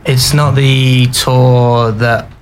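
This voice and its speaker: A man's voice: medium pitch, little pitch movement, extremely slow, slight background noise. A man, a band member guest, chatting casually and enthusiastically in a radio studio. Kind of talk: casual interview chat.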